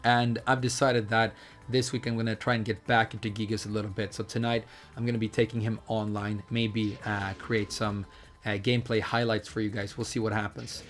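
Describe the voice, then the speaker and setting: A man with a slightly hoarse voice, casually explaining a fighting game character in a chatty, hedging way with frequent fillers. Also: fairly low pitch, little pitch movement, fairly quick, fairly bright tone, soft background music. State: hoarse, losing his voice. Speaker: fighting game guide creator. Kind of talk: casual video game tutorial commentary.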